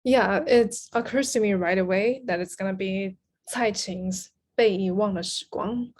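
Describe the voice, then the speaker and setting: Mid-pitched woman, explanatory interview guest. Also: unhurried, darkish tone. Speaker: guest, literary translator. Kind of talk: casual interview conversation.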